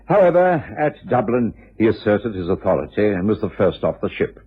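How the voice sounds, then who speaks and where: An actor playing a genial, well-mannered gentleman companion, narrating a radio drama in measured, courteous storytelling. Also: on the low side, big pitch swings, breathy edge. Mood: pleasant, contented. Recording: dull old radio broadcast, faint music.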